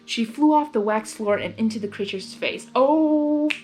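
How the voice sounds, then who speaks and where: High-voiced woman reading a story. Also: measured pace, mellow, faint music behind, low-quality recording. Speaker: narrator, fan fiction reader. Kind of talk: expressive story reading with casual reactions.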